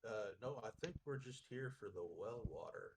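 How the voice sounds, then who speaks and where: A man's voice: medium pitch, lively intonation, fairly quick, background noise. A man playing a tabletop roleplaying game, chatting casually in character. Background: music.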